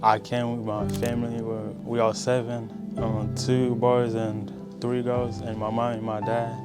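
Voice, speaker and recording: A young man from Rwanda, speaking plainly and haltingly in a documentary interview, often repeating words. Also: mid-range voice, completely flat delivery. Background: music.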